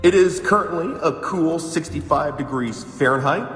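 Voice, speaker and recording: Guy playing calm formal emergency announcer, big reverb, music behind, radio drama bulletin. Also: fairly high pitch, normal speed, lightly acted. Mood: calm, official. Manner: formal, procedural. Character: calm official male public weather and emergency announcer. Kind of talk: scripted public address bulletin. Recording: echoey long reverb with music.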